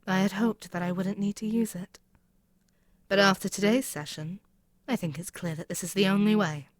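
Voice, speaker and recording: A woman's voice: medium pitch, moderate pace, dry recording, studio quality, natural voice. A woman playing a haughty, scheming demon queen in an audio roleplay, taunting and menacing in a theatrical way. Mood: commanding, menacing. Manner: haughty, taunting, theatrical, dropping at times to near-whisper. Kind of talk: scripted ASMR audio roleplay, dramatic monologue.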